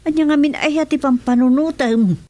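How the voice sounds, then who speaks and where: A woman's voice: high voice, normal speed, darkish tone, lightly acted. A woman playing a fussy, caring older village mother in a comedy radio drama. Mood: concerned.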